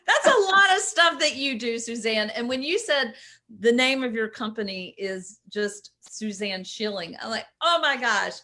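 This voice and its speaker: Bubbly bright-voiced woman host. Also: on the high side. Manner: bubbly, laughing often. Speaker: interview host, coach. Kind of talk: casual interview talk.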